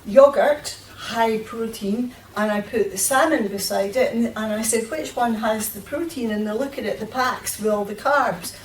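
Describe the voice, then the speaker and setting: A woman speaking on a roundtable panel, telling anecdotes in a lively, enthusiastic way. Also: a little high, normal speed, hardly any pauses, a little hiss or hum. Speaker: panelist promoting salmon. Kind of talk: roundtable discussion. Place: event room. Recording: distant mic in an echoey room.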